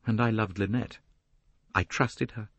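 A man's voice: fairly low pitch, fairly even intonation, a little slow, a bit bright, husky, dry recording, exaggerated voice. A man voicing a bitter, desperate young woman with a hard-edged, intense manner, in an audiobook novel. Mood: passionate, insistent.

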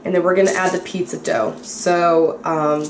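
A bubbly young woman talks through her cooking at home, excitable and a little dramatic. Her voice rings off the walls of a small echoey kitchen, with a faint hum of room noise behind her. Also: lowish voice, moderate pace. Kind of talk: casual cooking vlog.

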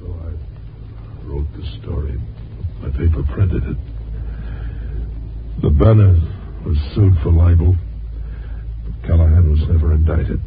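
Hard-boiled American reporter, male, deep voice, old radio drama on muffled tape with music. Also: unhurried, long pauses, noisy background. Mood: bitter, defeated. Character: ambitious hard-boiled newspaper reporter, later a bitter washed-up loser.

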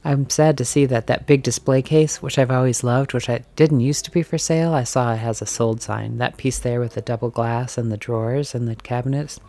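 A woman filming herself as she browses a large antique and thrift shop, chatting casually and musing aloud, sometimes dropping to a soft whisper. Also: rumbling low, steady tone, brisk, few pauses. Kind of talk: casual walk-and-talk commentary. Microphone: handheld camera mic, filming as she walks.

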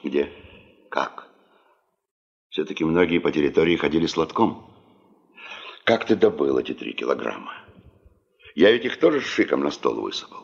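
An actor playing an authoritative, sober veteran boss in a 1970s Soviet radio play, speaking in a terse, blunt, commanding way. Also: male voice, a bass voice, big pitch swings, moderate pace, creaky, long pauses, dull audio, heavily acted. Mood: probing, stern.

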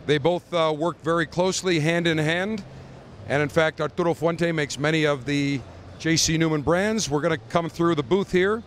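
A middle-aged man with a notably high voice runs a live roving tour, a brash, joking showman who teases his guests and keeps things moving. He talks into a handheld mic on the floor of a big indoor convention hall. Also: moderate pace, slight background noise. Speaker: radio show host touring a trade show. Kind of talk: live roving interviews and walkthrough. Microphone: handheld interview mic.

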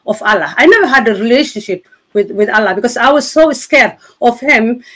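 A middle-aged woman from Somalia with a Somali accent, giving her personal testimony as a guest on a video call, earnest and halting with many fillers and restarts. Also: fairly high pitch, expressive, a bit bright. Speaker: interview guest. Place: indoors in front of a city-skyline backdrop.

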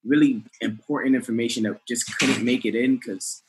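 A man's voice: mid-range voice, fairly even intonation, normal speed, background noise. A man in his thirties, a filmmaker guest on a video call, explaining in an upbeat way through a headset. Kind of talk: casual video call interview.

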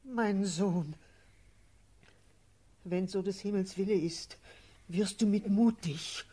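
Grave, breathy noble aunt. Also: female voice, medium pitch, mellow. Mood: solemn concern. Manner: solemn, measured. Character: grave noble aunt, a motherly older relative. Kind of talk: classical German stage-verse radio drama acting.

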